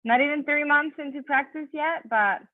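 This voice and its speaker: A woman's voice: sits high, moderate pace, no room sound, phone-quality sound. A woman in her thirties from Venezuela, a native Spanish speaker, presenting her career path to students with a conversational manner and lots of fillers. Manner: conversational, many fillers and self-corrections. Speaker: physician assistant giving a talk. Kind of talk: online presentation with slides. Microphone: video call.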